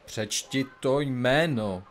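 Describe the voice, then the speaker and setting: A man playing an impatient, grumpy investigative journalist in an audio comedy drama, with a gruff, curt, colloquial manner. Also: medium pitch, a little hiss or hum, close to an everyday voice. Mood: irritated.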